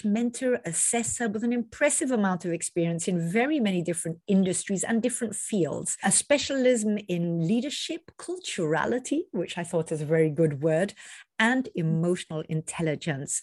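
Husky-voiced woman podcast host. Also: fairly low pitch, dry recording. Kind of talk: podcast interview. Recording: dry close mic with faint music.

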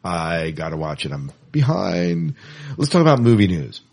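A middle-aged man hosting a podcast, talking casually and with clear opinions about movie news. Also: sits low, big pitch swings, normal speed, darkish tone. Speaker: podcast host.

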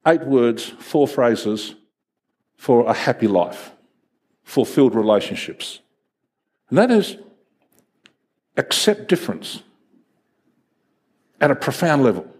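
Middle-aged pastor guy, greek first language, preaching with big swings up and down, bit husky, fairly high voice. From Greek heritage, first language Greek. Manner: animated, storytelling with acted voices. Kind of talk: sermon.

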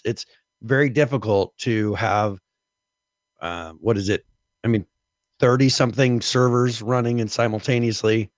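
A man's voice: on the low side, no room sound. A man co-hosting a gaming podcast, his voice scratchy from a cold, explaining at length in casual chat. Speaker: podcast co-host.

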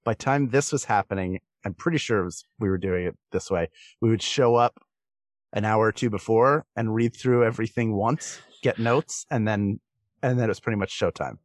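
A man's voice: lowish voice, normal speed, no echo. A man giving thoughtful, explanatory answers as a performer guesting in a conversational interview. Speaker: podcast guest, comedic stage and voice performer.

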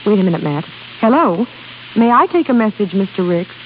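An actress playing a devoted, discreet young secretary, polite and earnest, in 1930s radio drama style. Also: female voice, medium pitch, expressive, fairly quick, natural voice. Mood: polite, firm. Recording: tinny, noisy 1930s radio recording.

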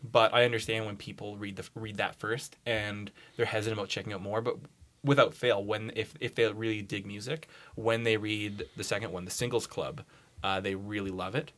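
A man's voice: fairly low pitch, steady tone, fairly quick, fairly bright tone. A man chatting casually as a podcast guest, with a slangy, informal way of talking. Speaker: podcast guest, comics enthusiast. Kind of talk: casual chat.

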